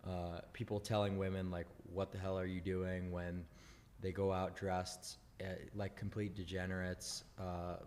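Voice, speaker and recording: Low-voiced man with reverb. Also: flat delivery, a little mellow, a little hiss or hum. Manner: halting, many uh and you know. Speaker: host giving advice. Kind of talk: casual opinion talk.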